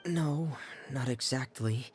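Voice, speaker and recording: A man's voice: medium pitch, slow pace, slight background noise, natural voice. A man playing a shy, worried teenage boy in a video game, talking hesitantly and tentatively.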